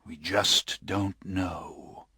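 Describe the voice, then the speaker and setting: A man voicing a desperate, drunken gentleman, pleading and bursting out in frantic, high-pitched cries. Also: steady tone, drawn-out words, husky, no echo, exaggerated voice. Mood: hushed. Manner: pleading, rising to frantic outbursts.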